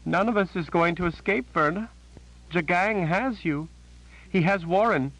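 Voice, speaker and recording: A man's voice: high-pitched, unhurried, fairly bright tone, pitched above the performer's own voice, heavily acted, poor audio. A man voicing a frightened, pained captive woman who speaks in a trembling whisper.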